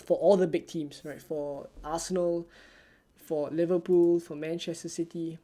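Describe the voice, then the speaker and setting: A streamer from Singapore, answering viewer questions in a live stream with a soft, low-key, almost ASMR-like delivery. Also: fairly even intonation, measured pace. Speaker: fantasy football streamer.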